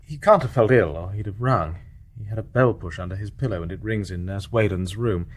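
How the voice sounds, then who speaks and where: An actor playing a restrained, affectionate young English gentleman in a period radio drama, polite and understated. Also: lowish voice, a little fast, close to an everyday voice. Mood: subdued, evasive. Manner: polite, understated, well-bred. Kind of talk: stage-play radio drama acting.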